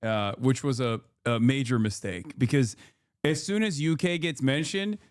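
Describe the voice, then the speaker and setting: A man's voice: mid-range voice, moderate pace. A man in his thirties doing casual live-stream commentary, reacting to a video with crude, teasing jokes for his chat.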